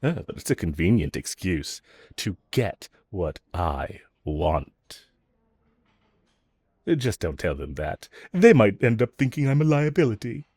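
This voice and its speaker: Male actor as teasing villain for audio roleplay: lowish voice, swooping inflection, smug, scheming, flirty. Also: big pitch swings, a little mellow, slightly rough, dry recording, heavily acted. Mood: flirtatious, boastful. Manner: teasing, coaxing, self-assured, drawn-out phrasing. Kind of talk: scripted audio roleplay addressed to the listener.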